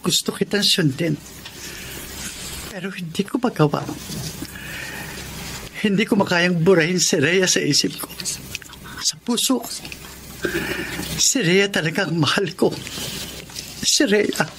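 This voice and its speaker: A man's voice: high voice, a little slow, long pauses, background noise. An actor playing a heartbroken man drinking to forget his lost love, speaking mournfully in a radio drama. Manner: mournful and pleading. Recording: loud music score behind. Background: music.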